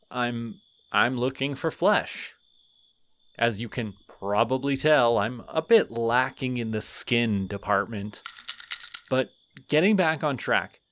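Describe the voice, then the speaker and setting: A man's voice: medium pitch, a bit bright, no echo. A man voicing a lonely, friendly skeleton who is centuries old, in an audio roleplay. He talks in a rambling, earnest, slightly awkward way. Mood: friendly, curious.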